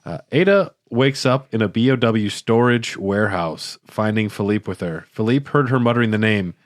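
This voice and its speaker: Lowish-voiced man, very dry sound. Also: animated, moderate pace, dry recording. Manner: joking, breaks off to comment. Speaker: podcast co-host. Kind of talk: reading a plot summary with casual commentary.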